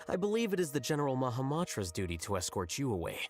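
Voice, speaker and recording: An actor playing a rational, blunt young scholar in a video game, speaking in a measured, detached, matter-of-fact way. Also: male voice, mid-range voice, very animated, faint music behind, natural voice. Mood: cool, blunt. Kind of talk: English video game voice acting.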